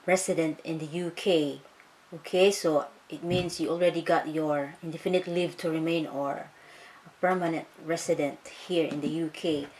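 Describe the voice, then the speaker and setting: A woman from the Philippines, walking viewers through a process in a chatty vlog, calling them guys and saying okay a lot. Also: fairly low pitch. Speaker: vlogger. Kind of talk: casual tutorial vlog.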